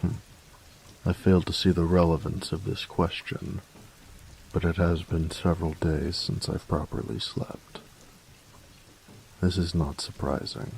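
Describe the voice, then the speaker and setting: A man playing a grumpy, workaholic artificer in an audio roleplay, tired and reserved, speaking formally and precisely with hesitant pauses in a gravelly voice. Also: level tone, a little mellow, slight background noise, poor audio. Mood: stiff, formal. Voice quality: gravelly, very low voice. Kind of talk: audio roleplay addressed to the listener.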